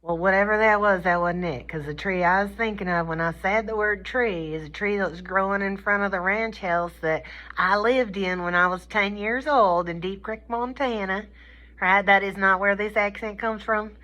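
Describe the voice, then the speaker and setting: A woman's voice: mid-range voice, moderate pace, sharp tone, low-quality recording. A woman giving an informal, folksy comedic lecture, playful and colloquial, often checking in with her listeners. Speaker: lecturer teaching a communication class.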